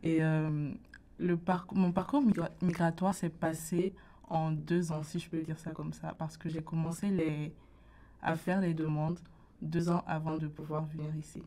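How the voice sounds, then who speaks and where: A young woman, a guest on a studio radio interview, soft-spoken and sometimes searching for words. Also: sits low, flat delivery, unhurried. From Burkina Faso. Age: late teens.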